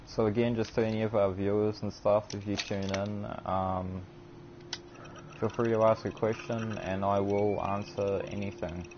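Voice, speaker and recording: A man's voice: on the low side, flat delivery, normal speed. A young man with a New Zealand accent chatting casually and self-deprecatingly to viewers while he streams a game. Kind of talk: casual live-stream commentary. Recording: dull lo-fi stream audio with background noise.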